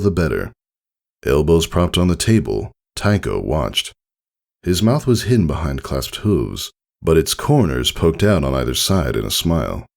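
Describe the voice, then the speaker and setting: A man reading fiction aloud as an audiobook narrator, with expressive scripted delivery. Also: extremely low pitch, creaky.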